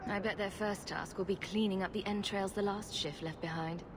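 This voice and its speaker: Woman playing a wry heroine, medium pitch. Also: fairly even intonation, normal speed, background noise, lightly acted. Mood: teasing. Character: confident, wry adult female hero. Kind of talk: video game acting.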